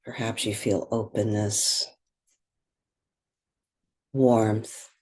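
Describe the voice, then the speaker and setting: A woman leading a guided meditation in gentle, unhurried phrases with pauses. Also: rumbling low, flat delivery.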